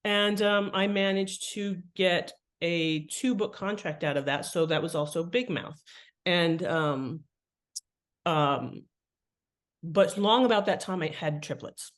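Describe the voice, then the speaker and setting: A woman's voice: fairly low pitch, slow. Middle aged american woman chatting as a podcast guest, thoughtful, stops and rephrases a lot. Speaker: podcast guest, author and editor. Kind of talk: conversational interview answers.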